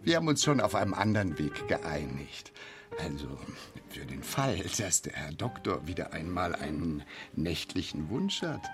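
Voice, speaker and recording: An actor playing a sly costume-shop owner in a radio play, oily, obliging and suggestive. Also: male voice, low voice, sing-song, normal speed, over music. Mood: slyly insinuating.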